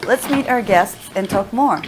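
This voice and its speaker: Woman interviewer: mid-range voice, scripted narration into brisk questions, noisy recording. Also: medium pitch. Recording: noisy background.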